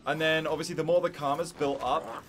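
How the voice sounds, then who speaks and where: A man's voice: a little high, fairly even intonation, fairly quick, background noise. A man giving casual, chatty, enthusiastic let's-play commentary as a gaming streamer. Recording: voice over game music.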